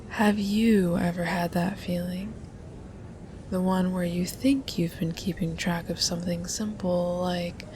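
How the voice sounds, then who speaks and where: A woman performing a hypnosis ASMR roleplay as a hypnotist, soft and teasing, often dropping into a hypnotic whisper. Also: fairly low pitch, background noise.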